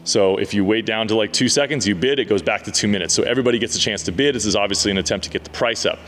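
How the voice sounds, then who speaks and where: A man in his thirties with a fairly low voice talks casually to the camera, relaxed and full of "um"s and "uh"s. He stands in a big indoor car garage, and the camera mic picks up a long, echoing reverb from the hall. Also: racing, a little hiss or hum. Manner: relaxed, conversational, many fillers. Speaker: car dealership owner and channel host.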